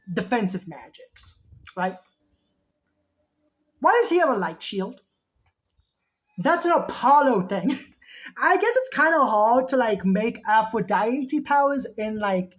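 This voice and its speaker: A woman giving casual reaction commentary, chatty and rambling, who keeps saying just saying, with a sore throat. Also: medium pitch. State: sore throat, losing her voice. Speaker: video reactor.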